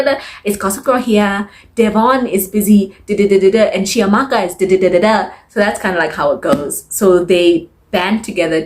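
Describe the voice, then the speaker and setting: Woman doing a casual book wrap-up, lowish voice, super expressive and emphatic, repeats herself for effect. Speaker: book reviewer. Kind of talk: casual chatty monthly reading wrap-up.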